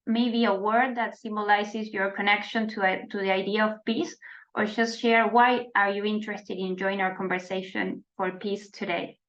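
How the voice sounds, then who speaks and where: A woman from Argentina moderating an online youth dialogue as a peace educator, speaking formally with frequent pauses and restarts over a video call. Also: medium pitch, a bit bright. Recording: slightly dull video call audio.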